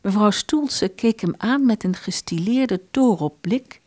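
A woman reading literary narration for a radio play in an expressive storytelling manner. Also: mid-range voice, a little slow, no room sound, studio quality.

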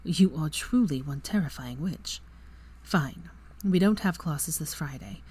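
A woman voicing a tender, brooding young wizard in a low, quiet, hushed voice. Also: lively intonation, unhurried, husky, heavily acted. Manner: quiet, hushed, near whisper.

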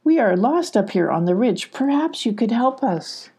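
Woman voicing a small, sweet, high-voiced animal explorer. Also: very animated, normal speed, warm tone, breathy edge, heavily acted. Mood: pleading. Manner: polite, coaxing.

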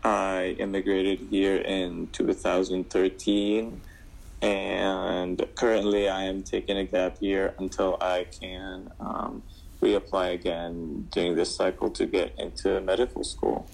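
A man's voice: sits low, level tone, takes it slowly, gravelly, a little mellow, poor audio. A young man from Syria answering interview questions casually, with many fillers and restarts. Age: 20s. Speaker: guest.

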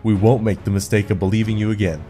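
A man's voice: fairly low pitch, steady tone, quick pace, dark tone, lightly acted. Man acting a plain-spoken guard leader, music behind. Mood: stern warning. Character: stern male guard leader in space commune. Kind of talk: sci-fi audio drama acting.

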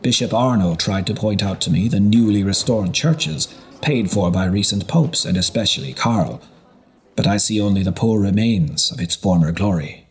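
A man reading a historical novel as scripted narration, in a measured storytelling delivery. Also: lowish voice, lively intonation. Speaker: audiobook narrator.

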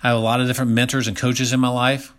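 A man's voice: medium pitch, flat delivery, very fast, fairly bright tone. A man of about sixty giving a motivational health talk, speaking emphatically and repeating key phrases for stress. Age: around 60.

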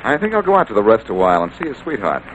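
Low-voiced wisecracking radio detective. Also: male voice, sing-song, very fast, a bit bright. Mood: breezy, charming. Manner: wisecracking, nonchalant. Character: wry, flirtatious, self-assured male amateur detective. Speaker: lead actor in a detective radio series. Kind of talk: old-time radio drama acting. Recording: noisy vintage radio recording.

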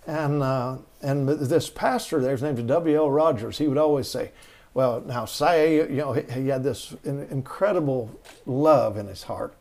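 A man's voice: mid-range voice, moderate pace, darkish tone. A middle-aged man, telling rambling stories as an interview guest, with many restarts. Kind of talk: storytelling conversation.

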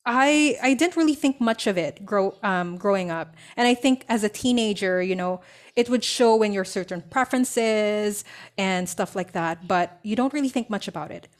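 A woman's voice: mid-range voice, moderate pace. A young adult woman from the Philippines, a business coach talking as a podcast guest, telling her story in a reflective way with many fillers and restarts. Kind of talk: casual interview storytelling.

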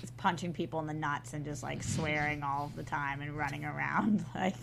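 Female panelist, very low pitch, casual chat, faint room noise behind. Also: fairly even intonation, normal speed, creaky. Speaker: panelist on a script table-read show.

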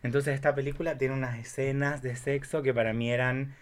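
A man's voice: medium pitch, level tone, a little fast. A man chatting candidly as a podcast guest, in a playful, rambling way. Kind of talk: casual, candid chat about films.

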